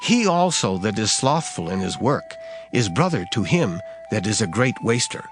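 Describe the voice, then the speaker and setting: A man giving a solemn, measured, expressive dramatized reading of scripture. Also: lowish voice. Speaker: scripture reader. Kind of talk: dramatized Bible audiobook reading. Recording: guitar music behind the voice. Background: plucked guitar music.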